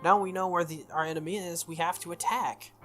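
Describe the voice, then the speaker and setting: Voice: mid-range voice, steady tone, brisk, fairly bright tone, slight background noise, lightly acted. A game streamer voicing a proud, stubborn young female warrior servant with formal, resolute speech in a visual novel. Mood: indignant.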